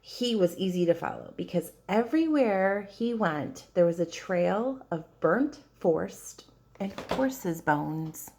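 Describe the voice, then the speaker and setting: A woman's voice: on the low side, lively intonation, slow, a little mellow, a little hiss or hum. Woman reading a children's storybook expressively.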